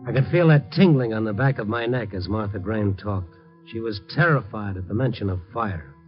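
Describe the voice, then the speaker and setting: An actor with an American accent playing a terse but compassionate investigator who narrates a 1950s radio drama. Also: male voice, fairly low pitch, brisk, over music, close to an everyday voice. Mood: tense, reflective. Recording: dull lo-fi vintage radio recording.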